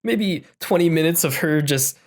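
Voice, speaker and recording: A man's voice: fairly high pitch, animated, normal speed. A man co-hosting a podcast, talking casually and conversationally about films. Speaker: podcast co-host. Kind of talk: casual film discussion.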